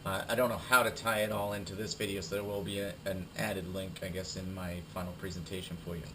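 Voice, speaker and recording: A young adult man with a cold giving a recorded class presentation, halting and full of fillers and repeated words. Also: low voice, little pitch movement, background noise. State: has a cold, losing his voice. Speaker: student presenter.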